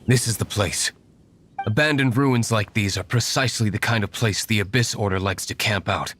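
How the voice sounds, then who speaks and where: A man's voice: on the low side, normal speed, a bit bright, a little hiss or hum, close to an everyday voice. A man voicing a cold, stern young nobleman in a video game, clipped and commanding. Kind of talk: video game cutscene dialogue.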